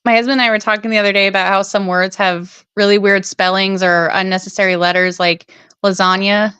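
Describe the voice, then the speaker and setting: A woman's voice: mid-range voice, level tone, brisk, fairly bright tone, clear, resonant tone, no room sound. A woman in a casual chat as co-host, asking curious questions and telling stories with giggles.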